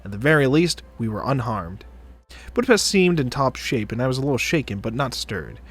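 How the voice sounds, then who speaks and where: A man reading a visual novel aloud as a streamer, with expressive narration. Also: mid-range voice, fairly quick, slightly rough. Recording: dry close mic, background music.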